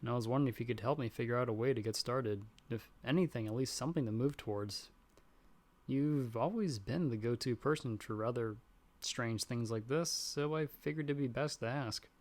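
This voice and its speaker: A man's voice: medium pitch, fairly quick, a little mellow, close to an everyday voice. A man playing a nervous, self-doubting young friend in an audio roleplay, chatting casually and rambling with self-deprecating humor.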